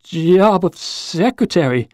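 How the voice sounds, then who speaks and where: A man acts out a storybook office clerk, patient and dutiful. The voice sits fairly high and is recorded dry, with a matter-of-fact way of speaking. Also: expressive, takes it slowly, a little mellow, no echo. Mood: dutiful, insistent.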